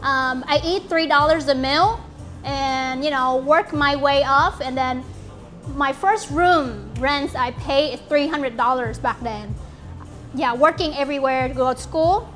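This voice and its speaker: A woman in her late twenties from Vietnam with a Vietnamese accent, speaking earnestly in halting English with fillers as she hosts a small gathering in an apartment lounge. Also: sits high, fairly bright tone. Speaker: event host and company founder. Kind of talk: informal speech to a small group. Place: bright apartment lounge, indoors.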